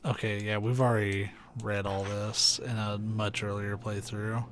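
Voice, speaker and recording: A young man with a soft, soothing voice, chatting casually and rambling while he plays a video game on stream. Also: on the low side, a little slow. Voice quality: soft, soothing, sleepy-sounding voice. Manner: rambling, laid-back, many "like"s and "uh"s. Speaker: let's play streamer. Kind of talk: casual commentary while gaming. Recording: steady background noise hiss.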